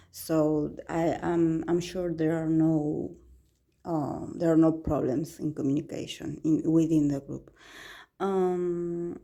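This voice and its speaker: A young woman, not a native English speaker, talks through a tarot reading at her own easy pace. Her voice sits a little low and sounds very warm and mellow. She pauses often, fills gaps with "uh" and "um," and circles back to restart her sentences as she thinks aloud. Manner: hesitant, many fillers and restarts, reflective. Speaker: tarot reader. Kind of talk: casual solo tarot reading.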